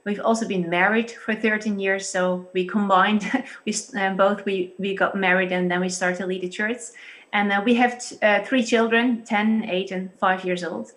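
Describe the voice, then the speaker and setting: A woman in her thirties with a Dutch accent, a pastor and psychologist explaining things carefully and haltingly over a video call. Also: medium pitch, steady tone, fairly bright tone. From Netherlands. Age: 30s.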